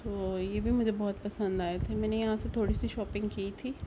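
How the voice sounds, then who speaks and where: A woman's voice: mid-range voice, steady tone, moderate pace, noisy background. A woman with a Pakistani accent narrating a casual shopping vlog in a chatty way. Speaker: vlogger.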